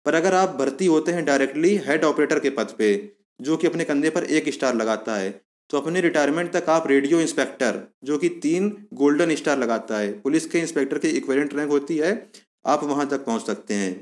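A man's voice: a little high, fairly quick. A man giving an informal explainer voice-over, going step by step and repeating key points as he addresses viewers as friends. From India. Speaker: YouTube channel host explaining a police job.

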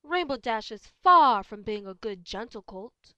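A refined lady unicorn acted by a woman, high in voice and full of genteel drama. She is doting and theatrical, as in a fan audio play, recorded very dry. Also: expressive, a little slow, bright, forceful tone, clean tone, dry recording, highs cut off. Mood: shocked, dramatic. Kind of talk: amateur audio drama acting.

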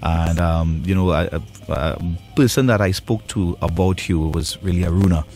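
Deep-voiced radio host, male. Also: moderate pace, darkish tone, faint music behind. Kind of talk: conversational radio interview. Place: radio studio.

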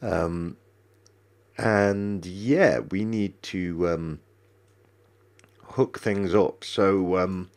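A man's voice: low voice, extremely slow, long pauses, darkish tone. A man with a cold and a stuffy nose, rambling through casual gameplay commentary with many ums and restarts. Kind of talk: casual let's-play commentary.

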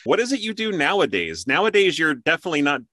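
A man's voice: fairly high pitch, wildly swooping pitch, fast, bright, forceful tone, dry recording, studio quality. A man hosting a casual interview, talking in a conversational, explanatory way. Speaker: interview host.

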